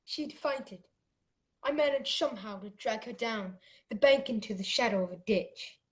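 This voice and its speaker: A woman's voice: on the high side, moderate pace, lightly acted. A woman playing an earnest, anxious young boy who narrates and acts in a radio play. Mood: tense narrating. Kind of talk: radio play storytelling and acting.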